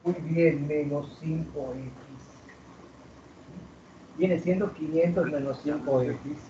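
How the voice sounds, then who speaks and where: A male teacher lectures a class from across an echoing classroom, his voice caught from a distance on a muffled recording. He keeps asking his students questions and stretches out the key words. His tone is mellow and slightly high for a man. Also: fairly even intonation, slow, a little hiss or hum. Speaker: math teacher.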